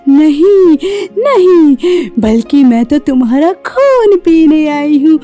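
Cruel witch schoolgirl played by a woman, horror tale: very high, drawn-out, commanding, thirsting for blood, music underneath. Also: a little mellow, full character voice. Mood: gloating. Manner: slow, threatening delivery with cackling. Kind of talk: dramatised horror storytelling.

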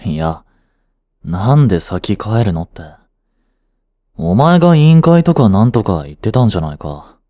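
A man's voice: lowish voice, very animated, moderate pace, long pauses, warm tone, no echo. A man acting an aloof, dry but secretly fond teenage boyfriend in a romantic voice drama, speaking in a cool, curt, teasing way. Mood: nonchalant. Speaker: voice actor. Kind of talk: romantic situation voice drama, one-sided dialogue.

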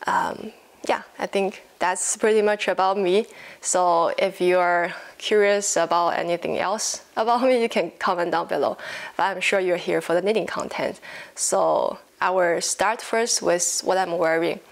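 Female vlogger, 20s, Chinese accent, lowish pitch with vocal fry, informal unscripted chat. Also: measured pace. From China. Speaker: knitting podcast host. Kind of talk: casual chat to camera. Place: living room at home, indoors.

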